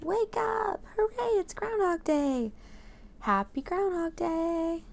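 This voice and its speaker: A woman voicing excited townspeople shouting and stretching out their words in a children's storybook read-aloud. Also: very high-pitched, takes it slowly, creaky, a little hiss or hum, pitched above the performer's own voice, full character voice.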